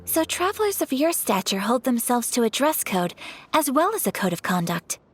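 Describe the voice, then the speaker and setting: Gentle, refined high-voiced young woman. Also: big pitch swings, normal speed. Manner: polite, formal, gentle and refined. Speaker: noble lady character. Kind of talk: video game voice acting. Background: faint background music.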